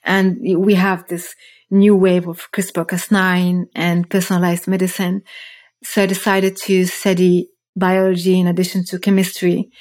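A woman's voice: fairly low pitch, a little mellow. A woman in her thirties from Morocco, telling her story as a podcast guest in an earnest, reflective way. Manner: earnest, reflective, frequent restarts. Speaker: guest, startup founder.

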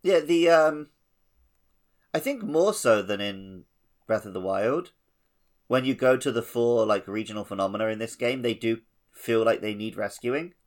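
A man's voice: medium pitch, moderate pace. A man co-hosting a podcast, talking casually about a video game. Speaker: podcast co-host.

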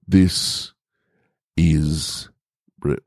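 A man voicing an angry, pedantic male housemate in an audio short story, accusatory, halting and emphatic. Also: rumbling low, steady tone, dry recording, clean studio sound, exaggerated voice. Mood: outraged, insistent.

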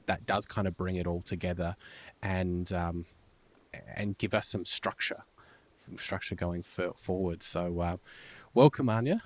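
A man with a fairly low voice hosts an interview podcast. He speaks with enthusiasm in a conversational way. His phrasing is halting, with words repeated and sentences restarted. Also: dry recording. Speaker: podcast host.

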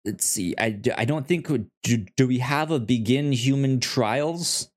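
A man talks as a co-host in a casual discussion. His delivery is slow and halting, and he tends to stammer over the first sounds of words. The pitch sits in an ordinary middle range. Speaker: podcast co-host.

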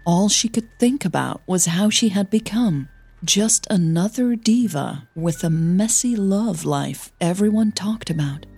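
A woman's voice: medium pitch, animated, measured pace, gravelly, warm tone, husky, soft background music. A woman narrating a scripted audio drama as its storyteller and podcast host.

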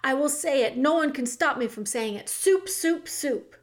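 A woman's voice: on the high side, expressive. A woman voicing a distraught storybook castle cook, wailing and ferocious in her grief. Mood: indignant wailing. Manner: wailing, shouting, drawn-out.

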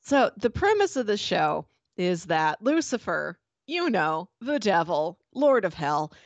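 A woman's voice: fairly low pitch, sing-song, unhurried, no room sound, lo-fi sound. A woman hosting a podcast, chatting casually and enthusiastically as she reviews a TV show. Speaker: podcast host.

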